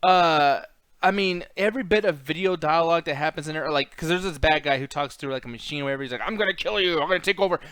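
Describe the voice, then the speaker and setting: A man's voice: a little high, fast talker, fairly bright tone, smooth, no room sound. A man hosting a casual, opinionated movie chat, ranting bluntly with lots of swearing and mocking quotes. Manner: mimics characters in mocking quotes. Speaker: podcast host.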